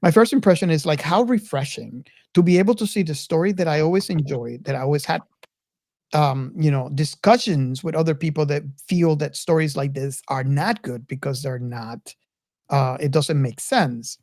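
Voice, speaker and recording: A man's voice: fairly high pitch, normal speed, a little mellow, no room sound, studio quality. A man hosting a casual film discussion, rambling and circling back over his phrases as he talks. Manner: rambling, repeats and restarts phrases. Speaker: podcast host.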